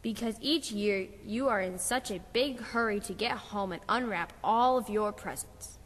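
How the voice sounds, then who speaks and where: A woman voicing a kindly talking Christmas tree in a children's puppet show, with a gentle, reassuring manner. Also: mid-range voice, moderate pace, big-room sound, close to an everyday voice.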